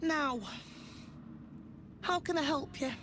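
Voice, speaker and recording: A high, cooing sinister cultist. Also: female voice, takes it slowly, background noise. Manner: cooing, honeyed, condescending. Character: sweet-talking sinister woman cultist.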